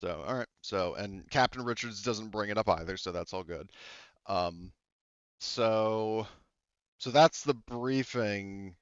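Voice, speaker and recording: Rambling game master, dull lo-fi sound. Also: male voice, on the low side, slow, clean tone, dry recording. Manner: rambling, full of fillers and self-corrections. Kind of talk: casual tabletop game narration. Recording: dull lo-fi dry mic.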